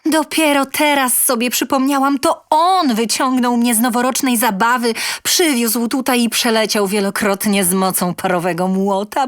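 A woman reading a scripted first-person short story aloud with expressive, dramatic delivery. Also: on the high side, no room sound, studio quality. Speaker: story reader.

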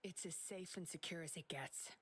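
Low, breathy, secretive female agent. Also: moderate pace, fairly bright tone. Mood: cool, assured. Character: cool, secretive, commanding young female agent. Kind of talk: scripted game dialogue. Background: game music.

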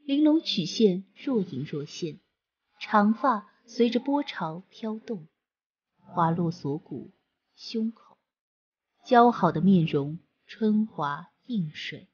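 Breathy woman narrator for a storybook, slow and expressive. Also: mid-range voice, highs cut off. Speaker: audiobook narrator.

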